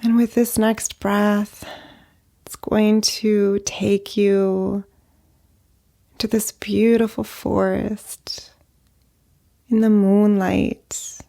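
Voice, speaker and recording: Slow, creaky-voiced woman guiding meditation. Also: medium pitch, flat delivery. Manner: gentle, unhurried, with long pauses between short phrases. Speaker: meditation guide, therapist. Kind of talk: guided meditation, slow and soothing narration.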